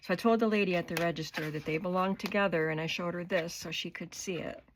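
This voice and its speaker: An older woman with a cold and a hoarse voice, chatting unhurriedly as she shows her craft supplies in her home studio. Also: lowish voice, a little hiss or hum. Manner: chatty, unhurried, describing items up close. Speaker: craft vlogger. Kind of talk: casual show-and-tell haul.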